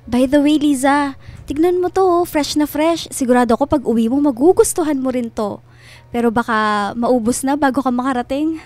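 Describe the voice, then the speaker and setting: An actress playing a chirpy, ingratiating and subtly possessive family friend in a radio drama, with a breezy, over-sweet manner. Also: female voice, sits high, normal speed, darkish tone, dry recording, close to an everyday voice. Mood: gushing, smug.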